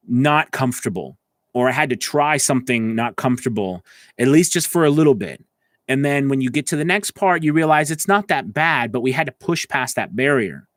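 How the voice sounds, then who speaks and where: A man's voice: medium pitch, a bit bright, dry recording. A man with a mid-range voice gives informal coaching to livestream viewers. He speaks straight to the listener in an encouraging, conversational manner. The sound is dry, with very little room in it. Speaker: voice acting coach hosting a live stream.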